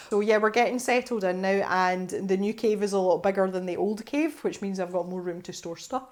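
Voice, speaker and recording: A woman's voice: mid-range voice, moderate pace, a little mellow. Chatty Scottish woman, west coast accent. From west coast of Scotland. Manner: chatty, laughs often, restarts sentences. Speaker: art channel host. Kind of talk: casual chatty vlog answering questions.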